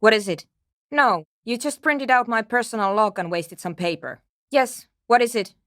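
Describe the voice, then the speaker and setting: A woman's voice: fairly high pitch, moderate pace, fairly bright tone, no echo, clean studio sound, lightly acted. A woman playing a guarded, impatient and anxious programmer in a video game, with a terse, precise and matter-of-fact way of talking. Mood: curt, dry. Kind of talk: scripted game character dialogue.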